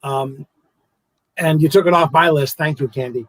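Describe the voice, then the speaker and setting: Host, male, slightly high husky voice, swinging pitch, restarts a lot, dry sound. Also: moderate pace, no room sound. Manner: animated, stammering, laughs a lot. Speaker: show host. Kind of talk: casual interview chat.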